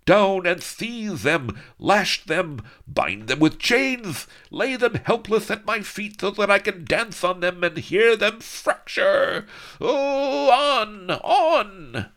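A man's voice: high voice, very animated, slow pace, a bit bright, pitched above the performer's own voice, full character voice. A man voicing a hysterical, gloating villainous Spanish don who shrieks and cackles. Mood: frenzied.

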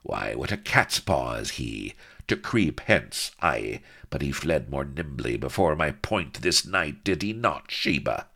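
A man's voice: very deep voice, sing-song, measured pace, vocal fry, bright, forceful tone, slightly rough, exaggerated voice. A man voicing a dashing, theatrical pirate swordsman who taunts in florid old-fashioned phrases. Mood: mocking.

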